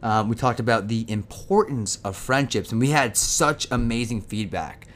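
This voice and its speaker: A man's voice: medium pitch, normal speed, fairly bright tone. A man co-hosting a casual podcast, loose and joking, introducing the episode's topic. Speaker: podcast co-host. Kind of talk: casual chat.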